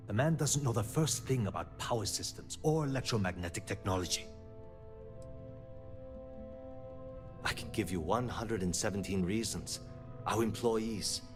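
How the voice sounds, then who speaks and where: Low-pitched male actor as a frustrated, principled company manager for sci-fi game dialogue, earnest and articulate, music underneath. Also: close to an everyday voice. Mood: worried, exasperated. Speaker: video game character.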